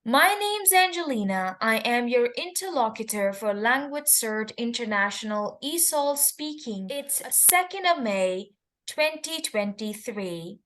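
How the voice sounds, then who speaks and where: Slow, high-voiced woman examiner. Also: lively intonation, fairly bright tone. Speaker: exam interlocutor. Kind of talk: mock speaking test.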